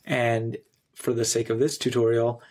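A man in his thirties narrating a screencast tutorial in an instructional, clearly phrased way. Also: mid-range voice, level tone, unhurried, darkish tone. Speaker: software tutorial presenter.

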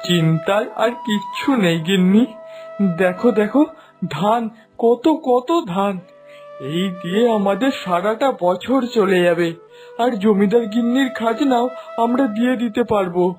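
A woman's voice: medium pitch, measured pace, darkish tone, soft background music, natural voice. A woman voicing a poor, devout farmer husband in a cartoon folk tale, eager and full of wonder. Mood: excited. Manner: eager, marvelling. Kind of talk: Bengali animated folk-tale acting.

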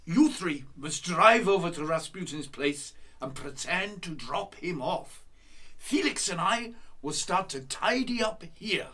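A man playing a brisk, commanding conspirator with a husky voice in a radio play. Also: sits high, lively intonation, bright, forceful tone, exaggerated voice. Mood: businesslike. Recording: slightly distant microphone.

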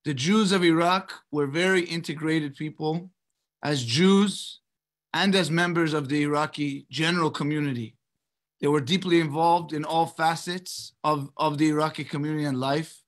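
American guy, middle aged, voice a bit high, measured rabbi talk over video call. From Los Angeles, United States. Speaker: rabbi, guest speaker. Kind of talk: prepared personal address. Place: indoors in a book-lined study, on a video call. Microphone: computer mic on a video call.

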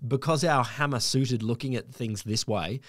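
Australian podcast co-host in his 40s, lively, dry close sound. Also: medium pitch, moderate pace, dry recording. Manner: lively, chatty, many yeahs. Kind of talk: casual science chat. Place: home room with shelves. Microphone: headset on a video call.

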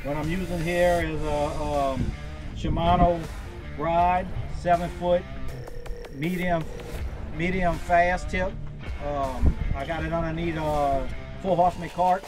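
A man's voice: a little high, steady tone, slow, over music. A man, chatting casually to camera as host of a fishing show, out on an open boat. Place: outdoors on a small open boat on the water. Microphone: action camera a few feet away.

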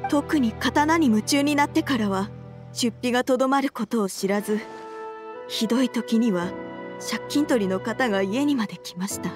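Troubled, high-voiced polite wife. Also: female voice. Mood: troubled, recounting. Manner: polite, measured, earnest. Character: troubled, earnest wife and mother, soft-spoken and polite. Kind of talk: video game voice acting.

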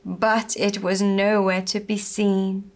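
A young woman telling a story to small children in a gentle, deliberate, expressive manner. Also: mid-range voice. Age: young adult. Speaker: puppet show storyteller. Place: small furnished room indoors.

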